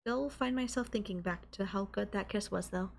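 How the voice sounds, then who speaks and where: Casting: woman as young student girlfriend, mid-pitched, coy, teasing and affectionate, for intimate anime-style audio roleplay. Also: normal speed, vocal fry. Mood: coy confiding.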